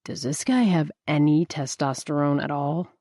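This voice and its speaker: A woman reading the part of a blunt, sarcastic young man who needles his friend, in a deliberately lowered voice. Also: dark tone, no room sound, studio quality. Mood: needling. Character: brash, teasing young man, male friend.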